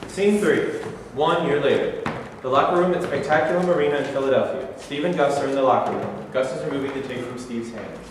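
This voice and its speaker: A man's voice: mid-range voice, a little fast. A young man reading scripted stage directions at a staged reading, recorded in a rehearsal studio. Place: mid-sized rehearsal studio, far camera. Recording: echoey hall, far from mic, faint noise.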